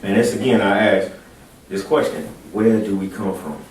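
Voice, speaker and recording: A man's voice: on the low side, fairly even intonation, moderate pace, a little mellow. A man hosting a casual religious discussion, talking animatedly and pushing his points with emphasis. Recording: echoey room, distant mic, noisy.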